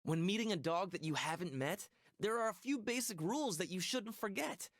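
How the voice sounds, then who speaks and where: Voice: mid-range voice, lively intonation, a little fast, dry recording, studio quality, close to an everyday voice. A performer voicing a friendly cartoon child in a children's game, speaking earnest, instructive rhymes. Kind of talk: scripted rhyming children's game narration.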